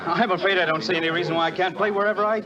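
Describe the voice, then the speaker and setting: An actor playing a brash, defensive young American husband with an American accent, in 1940s radio acting. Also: male voice, sits high, steady tone, very fast, natural voice. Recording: dull old radio broadcast, casino crowd.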